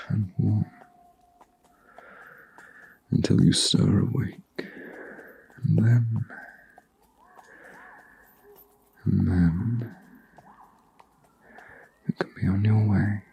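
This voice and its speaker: Man acting a deep, gravelly, gentle huntsman. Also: slight background noise, heavily acted. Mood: hushed, soothing. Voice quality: low, gravelly, breathy. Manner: slow, soothing, old-fashioned storybook phrasing. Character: gentle, protective huntsman of a dark fantasy forest. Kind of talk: ASMR roleplay, soft-spoken and intimate.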